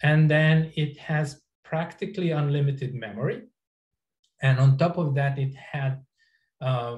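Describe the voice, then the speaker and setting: A middle-aged man, an or Croatian speaker, giving an academic talk over a video call in a halting way with many restarts. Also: medium pitch, darkish tone, reverberant. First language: Serbian or Croatian. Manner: halting, frequent restarts and fillers. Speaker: researcher giving a lecture. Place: indoor office. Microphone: webcam on a video call.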